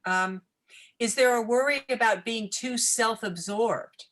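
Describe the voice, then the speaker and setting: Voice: mid-range voice, a little slow, a bit bright. A moderator reading audience questions aloud, hesitant, with many fillers before each one.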